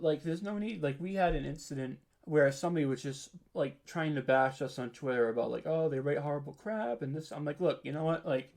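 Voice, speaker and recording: A man's voice: fairly high pitch, a little mellow. A man speaking as an interview guest in casual, candid chat, peppering his talk with "like" and "you know". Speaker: interview guest, horror audio drama writer.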